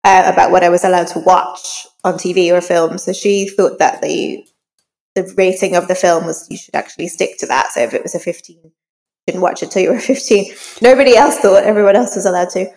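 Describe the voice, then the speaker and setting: Woman in her forties, Northern Irish accent, creaky voice, slightly blocked-up with a cold, conversational, long reverb. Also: fairly low pitch, fairly even intonation, brisk, a little mellow. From rural Northern Ireland. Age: 40s. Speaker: crime novelist, interview guest. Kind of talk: casual conversational interview.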